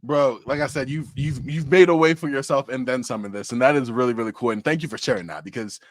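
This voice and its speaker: Pumped fast-talking man hosting a podcast. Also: mid-range voice, no echo, studio quality. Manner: hyped, energetic, talks fast with restarts. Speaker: podcast host. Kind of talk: casual sports podcast chat.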